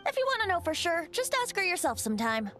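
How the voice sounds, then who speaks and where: A woman's voice: very high voice, big pitch swings, fast, over music. A woman voicing a youthful boy bard in a video game, breezy and carefree, with a lilting, teasing and coy delivery. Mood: explaining, coy. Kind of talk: video game character dialogue.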